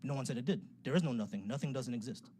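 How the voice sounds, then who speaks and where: A talkative man hosts a casual call-in debate on a live stream, his mid-pitched voice loose and easygoing. He laughs easily between points. A steady hiss of noise sits under the audio. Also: fast talker. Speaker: livestream host. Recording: noisy livestream audio.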